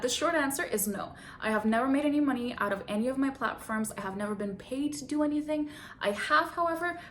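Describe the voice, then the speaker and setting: A woman's voice: a little high, a little hiss or hum. A woman in her twenties, originally from Bulgaria, chatting casually through a Q and A vlog, laughing easily and adding self-conscious asides. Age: 20s. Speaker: vlogger.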